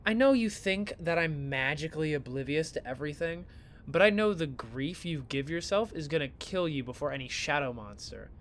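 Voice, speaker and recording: A man playing a flippant but caring young student in an audio drama, talking in a casual, sardonic, expressive way. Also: on the high side, moderate pace, slight background noise. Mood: teasing concern.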